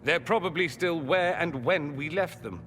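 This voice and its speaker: A man's voice: a little high, normal speed, a bit bright, in a large hall, slight background noise, lightly acted. An actor playing a witty, flamboyant male mage in a video game, with a wry, theatrical and articulate delivery. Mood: thoughtful, wry. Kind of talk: video game character acting.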